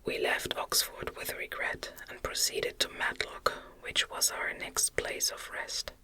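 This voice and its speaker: A woman reads a classic novel aloud as ASMR, whispering in a gentle, soothing, unhurried way with short phrases. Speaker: audiobook reader.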